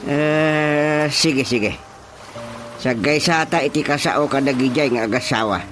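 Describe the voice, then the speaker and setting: A man playing a deliberate village chairman who mediates quarrels, in an Ilocano radio comedy drama. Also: medium pitch, fairly bright tone, faint music behind, close to an everyday voice. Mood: measured.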